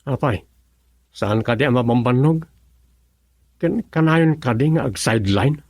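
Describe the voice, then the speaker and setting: A worried, mild-mannered Ilocano son in a radio drama. His medium-pitched voice is earnest and fretful, captured in a dry studio sound. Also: a little slow, a little mellow, dry recording. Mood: concerned.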